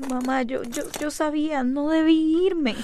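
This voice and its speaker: An actress with a Costa Rican accent playing a worried young daughter in a radio soap opera, hesitant and stammering. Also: female voice, sits high, steady tone, unhurried, noisy background.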